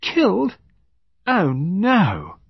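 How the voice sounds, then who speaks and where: A man voicing a vague, gentle, fatalistic aristocratic lady in an audiobook, soft and airy in manner. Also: high voice, measured pace, slightly rough, dry recording, dull audio, voice pushed up. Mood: dreamy, gentle. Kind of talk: audiobook character dialogue.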